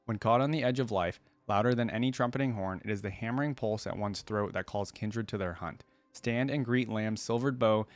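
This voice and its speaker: A man's voice: fairly low pitch, no room sound. A man hosting a reaction video, switching between casual commentary and reading aloud.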